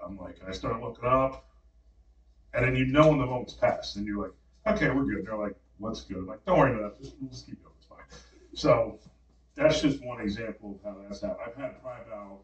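A man's voice: lowish voice, muffled recording. A man, around his thirties, who tells stories with energy and acts out the voices of the people in them. He sits a little away from the mic, and the room gives his voice a noticeable echo. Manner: animated storyteller acting out quotes. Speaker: podcast co-host. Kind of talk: casual storytelling chat. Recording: roomy, slightly distant mic.

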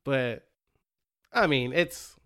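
A man's voice: mid-range voice, expressive, drawn-out words, a bit bright. A man co-hosting a podcast, chatting casually about sports, laughing often and restarting his sentences. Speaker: podcast co-host.

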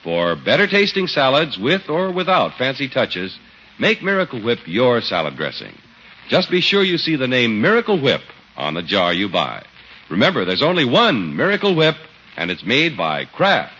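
A man works as a 1950s radio announcer with a polished, scripted delivery. His pitch moves in lively, emphatic sweeps. The recording is dull, with faint hiss. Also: moderate pace.

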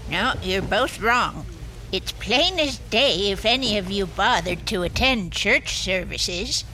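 A woman acting a prim, devout, excitable older small-town library keeper in an audio drama. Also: mid-range voice, slow pace, slight background noise, rough recording. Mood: stern, preachy. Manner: prim, fretful, formal wording.

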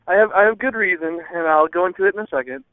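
A man caller leaving a casual, rambling voicemail in hesitant, halting phrases over a phone line. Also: sits high, no room sound. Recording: thin, dry phone line.

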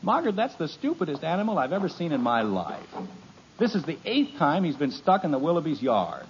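Exasperated sitcom dad, blustering, bouncy tone, fairly high, lo-fi old radio. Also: male voice, huge pitch swings, a little fast. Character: exasperated, grumbling suburban father. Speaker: father of the family. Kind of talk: 1950s radio sitcom acting. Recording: dull lo-fi old radio recording, faint hiss.

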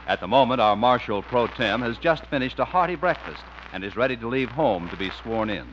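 A man's voice: mid-range voice, animated, brisk, noisy background. A man announcing and narrating a scripted 1950s radio show. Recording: muffled 1950s radio recording. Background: music.

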